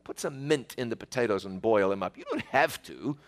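A man's voice: fairly low pitch, animated, fairly quick. Chatty New Zealand man reminiscing. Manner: folksy, chatty, addresses listener directly. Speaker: storyteller. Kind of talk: casual spoken reminiscence.

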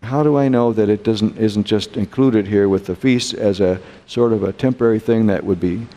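American man, mellow low voice, preacher teaching a Bible lesson in a reverberant hall; unhurried, asks rhetorical questions. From United States. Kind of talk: teaching a Bible study class.

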